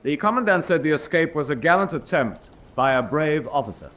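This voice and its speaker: An actor playing a grave senior German naval officer held prisoner, speaking in formal, measured announcements in a solemn radio drama style. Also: male voice, a little high, expressive, a bit bright. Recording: noisy drama track with ambience.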